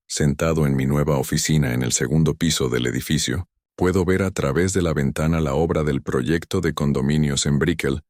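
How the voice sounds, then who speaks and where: A man tells a first-person drama in a slow, stern, measured way. His voice is extremely deep and booming low. The recording is dry and close, with no room echo. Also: darkish tone. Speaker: narrator. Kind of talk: first-person story narration.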